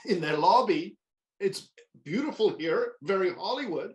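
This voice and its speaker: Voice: medium pitch, expressive, unhurried, muffled recording, natural voice. An actor playing a nervous, flustered male underling, hesitant and placating, in a comedy table read on a video call. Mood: falsely breezy. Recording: echoey room on video call.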